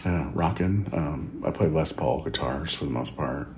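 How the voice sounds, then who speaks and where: A man hosting a casual podcast chat, relaxed and halting with lots of fillers. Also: extremely low pitch, echoey room, slight background noise. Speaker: podcast host.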